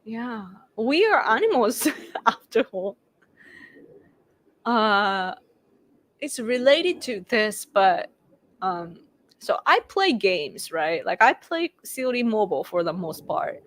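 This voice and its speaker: A woman's voice: mid-range voice, big pitch swings, takes it slowly, pauses often, fairly bright tone. A Japanese woman in her late thirties with a Japanese accent, chatting casually on a live stream and laughing often as she answers viewers. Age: late 30s. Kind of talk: casual chat.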